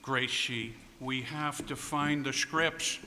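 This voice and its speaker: A man's voice: medium pitch, steady tone, slow, lightly acted. A man playing a flustered husband, the stammering straight man of an old-time radio comedy duo. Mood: exasperated. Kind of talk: 1940s radio comedy acting on stage. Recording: echoey hall stage, faint noise.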